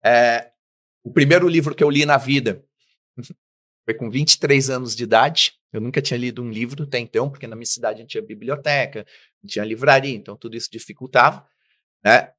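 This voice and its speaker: A middle-aged man, a business guest in an interview, telling his life story with lively acted-out dialogue. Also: mid-range voice, fairly quick. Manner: animated storyteller, acts out quoted dialogue.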